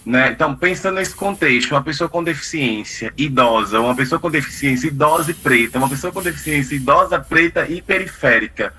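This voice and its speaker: Halting man asking a long question. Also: fairly high pitch, pushed and bright, a little hiss or hum. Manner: halting, searching for words with many fillers. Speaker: course participant asking a question.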